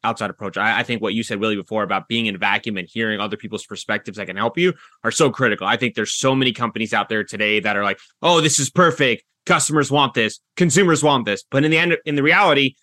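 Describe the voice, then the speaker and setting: Bright-voiced male podcast host. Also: lowish voice, quick pace, few pauses, no echo. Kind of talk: conversational interview hosting.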